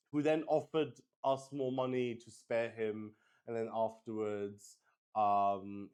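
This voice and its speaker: Male streamer, lower-pitched, unhurried and rambling; chatty, hesitant explainer for a tabletop roleplaying livestream. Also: a little mellow, smooth. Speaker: streamer playing solo tabletop RPG.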